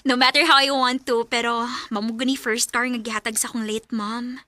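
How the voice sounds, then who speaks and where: A woman's voice: fairly high pitch, fairly even intonation, fairly quick, pushed and bright, no room sound. A woman playing a friendly, chatty young woman who brings her car in for repair. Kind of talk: amateur radio drama acting.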